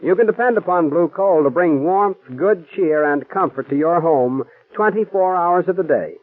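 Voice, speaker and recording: A man's voice: high voice, normal speed, dry recording. A man announcing a 1940s radio drama and reading its sponsor's ad in a polished, formal way. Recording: tinny old radio broadcast with faint music.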